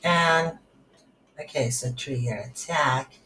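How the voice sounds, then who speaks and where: A woman giving an unscripted screen-recorded coding tutorial, thinking aloud in halting short phrases. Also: rumbling low, sharp tone. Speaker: tutorial instructor. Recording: roomy echo, audible background noise.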